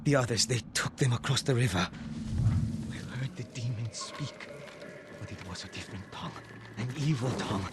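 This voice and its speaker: A man playing a grateful but fearful surviving villager in a game, speaking in a hushed way. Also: medium pitch, moderate pace, lightly acted. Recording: loud background noise.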